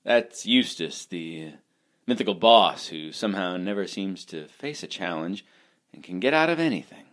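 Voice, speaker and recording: A goofy, awkward fellow, played by a man rambles along. His voice is fairly low with very lively pitch, in a radio comedy. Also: normal speed. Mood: sheepish. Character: scatterbrained, awkward affable guy.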